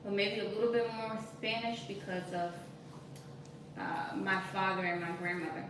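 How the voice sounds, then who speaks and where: A woman's voice: medium pitch, level tone, normal speed. A woman in her thirties hosting a cooking live stream from her home kitchen, chatting casually and reading viewer comments aloud. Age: 30s. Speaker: cooking channel host. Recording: echoey kitchen, distant mic, noisy.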